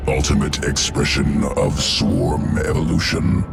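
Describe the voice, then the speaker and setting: A man voicing a monstrous alien scientist creature in a video game, clinical and detached, in deep gravelly, clipped phrases. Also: animated, over loud music, heavily acted. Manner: clipped article-less phrases, detached, deliberate.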